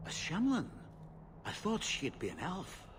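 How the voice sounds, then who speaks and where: A man's voice: sits high, wildly swooping pitch, unhurried, full character voice. An actor playing a hostile, suspicious male elf guard in a video game. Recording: loud background noise.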